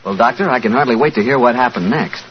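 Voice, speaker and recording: An American male announcer delivering a folksy, enthusiastic 1940s radio sales pitch. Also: fast talker. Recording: muffled, hissy 1940s radio broadcast.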